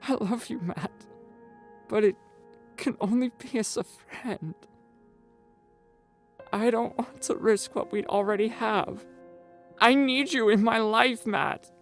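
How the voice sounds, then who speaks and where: A man voicing a gentle, worried transgender teenage girl, soft and hesitant, in a story reading. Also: high-pitched, takes it slowly, pauses often, faint music behind, voice pushed up. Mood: tearful.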